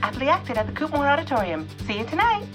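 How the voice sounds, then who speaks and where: A woman's voice: high-pitched, sing-song, fast. A woman playing a showy, chirpy small-town news reporter giving a mock newscast in an audio drama, with over-enunciated announcer delivery. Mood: bright, showy. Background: music.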